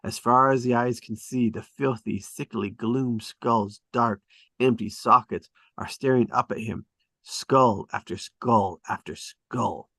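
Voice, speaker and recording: A man's voice: medium pitch, takes it slowly, sharp tone, dry recording, studio quality. A man reading stage directions as dramatic scripted narration at a table read.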